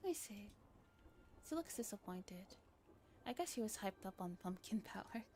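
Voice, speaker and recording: A soft-spoken woman reading a visual novel aloud in a gentle ASMR style, giggly and sometimes stumbling over lines. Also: medium pitch, animated, normal speed, a little hiss or hum.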